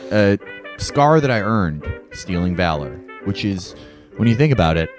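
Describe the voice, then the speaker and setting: A man's voice: deep voice, big pitch swings, normal speed. A man playing a comedy tabletop game, introducing his character in a mock-boastful way. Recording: clear music bed under the voice.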